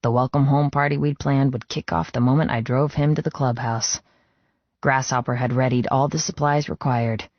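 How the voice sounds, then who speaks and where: A man's voice: medium pitch, fairly even intonation, normal speed, no echo. Male audiobook narrator, dry dull sound. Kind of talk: first-person dramatic scripted narration.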